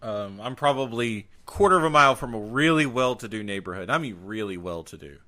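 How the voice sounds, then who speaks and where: A man's voice: mid-range voice, expressive, brisk, pushed and bright. A man co-hosting a podcast in casual chat, joking and grumbling in long rambling rants. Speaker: podcast co-host.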